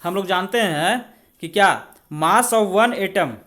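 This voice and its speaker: A man's voice: sits high, takes it slowly, fairly bright tone. An Indian man tutoring exam students in a conversational way, often asking rhetorical questions as he works step by step. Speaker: exam coaching teacher. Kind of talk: spoken maths and chemistry tutorial.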